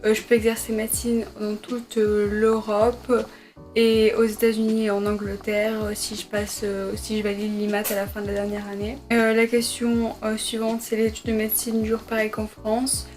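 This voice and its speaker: A woman's voice: mid-range voice, completely flat delivery, normal speed, a little mellow, soft background music. A young woman, a medical student, answering viewer questions in a casual sit-down vlog from her bedroom, hesitant with many fillers and self-corrections. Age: young adult. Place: her bedroom at her parents' home.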